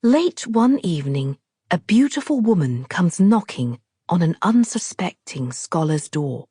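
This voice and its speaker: Female audiobook storyteller, deliberate and measured, lowish husky voice, recorded very dry. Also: sing-song, mellow, dry recording. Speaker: author narrating her own audiobook. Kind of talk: scripted literary narration, storytelling.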